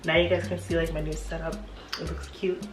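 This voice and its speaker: A woman's voice: low voice, level tone, fairly quick. A young woman with a congested voice from a cold, chatting casually as a beauty vlogger getting ready at home, with lots of fillers and laughs. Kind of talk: casual get-ready-with-me chat. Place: home apartment room. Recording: echoey room, mic at a distance, music behind.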